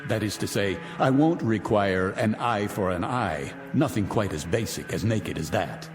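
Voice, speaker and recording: A man's voice: lowish voice, expressive, measured pace. A middle-aged actor playing a vindictive rich man taunting his guests in 1960s television drama style, mocking and grandiloquent. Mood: smooth, imperious. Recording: vintage TV soundtrack, reverberant, music behind.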